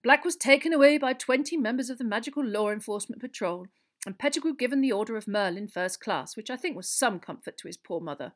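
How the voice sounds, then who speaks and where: A pompous, middle-aged man of government played by a woman narrator in a storybook. He speaks gravely and officiously in a measured, fairly high voice. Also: animated, close to an everyday voice.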